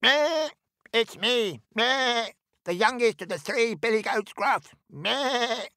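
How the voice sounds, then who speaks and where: A man's voice: extremely high pitch, lively intonation, takes it slowly, sharp tone, no room sound, clean studio sound, voice pushed up, full character voice. A man voicing a small, nervous young billy goat who bleats and pleads in a storybook. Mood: bleating.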